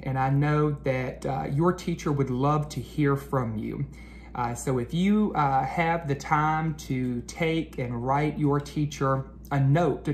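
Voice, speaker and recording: A man hosting a children's read-aloud, speaking expressively to young listeners with drawn-out storybook phrasing, as an educator. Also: on the high side. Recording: noticeable background noise.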